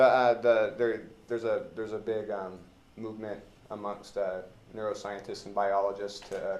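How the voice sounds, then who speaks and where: An American man leading an informal classroom discussion of a play, rambling and joking as he feels his way through. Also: low voice. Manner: halting, rambling, colloquial. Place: indoor classroom. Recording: roomy classroom echo, background hiss.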